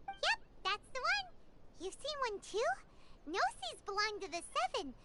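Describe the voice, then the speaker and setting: Tiny squeaky fairy sidekick, super high and bouncy, loud game music behind. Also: female voice, very animated, a little slow, very noisy, heavily acted. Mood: lively. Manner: squeaky, speaks of herself in third person. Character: tiny floating fairy companion, excitable and chatty. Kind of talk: game voice acting.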